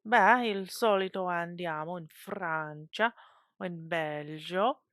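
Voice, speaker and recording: A woman's voice: fairly low pitch, slow, fairly bright tone, no room sound, studio quality. A woman reading as an awkward, flustered man. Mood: defensive. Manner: hesitant, defensive replies. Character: awkward, defensive educated man, easily flustered.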